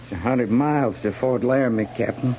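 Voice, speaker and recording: An actor playing a gruff, hard-nosed cavalry first sergeant in a 1950s radio western, curt and barking. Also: male voice, mid-range voice, fairly quick, mellow, natural voice. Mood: subdued, dutiful. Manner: curt, barking, loyal to rank. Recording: very noisy, muffled 1950s radio recording.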